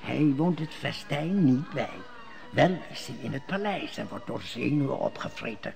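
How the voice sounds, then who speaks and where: A man's voice: medium pitch, expressive, normal speed, vocal fry, darkish tone, soft background music. An actor playing a scheming male court elder who speaks in sly riddles, in an old radio play. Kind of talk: 1950s Dutch radio drama acting.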